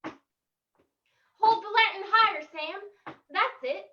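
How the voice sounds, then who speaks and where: A woman puts on an anxious small-town woman for a radio play, very high and bright. She is earnest and dramatic, drawing out her words. The audio is slightly muffled. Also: extremely slow, full character voice. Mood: alarmed, wary. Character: alarmed small-town colonial New England woman. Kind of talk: old-time radio drama reading.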